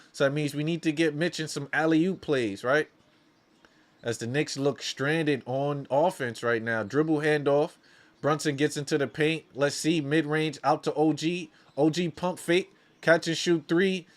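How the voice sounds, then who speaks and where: A man with a somewhat high-pitched voice hosts a live basketball watch-along. He calls the plays like a devoted fan, in slangy language. He often repeats short phrases to drive a point home. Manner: slangy, partisan fan talk.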